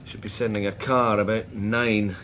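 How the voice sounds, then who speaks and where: An actor playing a weary, guarded psychic detective in a radio drama, Scottish, measured and dryly understated. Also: male voice, on the low side, little pitch movement, noisy background, lightly acted. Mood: dry, teasing. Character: weary, guarded male psychic detective, fond of his mother. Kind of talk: radio drama acting, with introspective narration.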